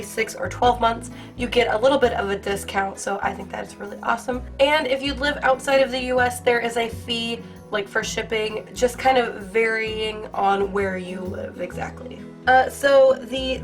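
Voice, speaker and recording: Young female vlogger, a bit high-voiced, crackly from a cold, bubbly and chatty over faint music. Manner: bubbly, gushing, filler-heavy. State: hoarse from a cold. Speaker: art channel host. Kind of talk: casual unboxing review.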